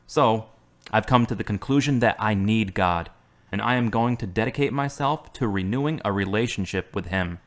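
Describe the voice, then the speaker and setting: A man's voice: lowish voice, normal speed, close to an everyday voice. An actor playing an earnest young man recovering from an accident, who talks sincerely and asks many questions. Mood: resolute. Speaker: hospital patient. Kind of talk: amateur web drama acting.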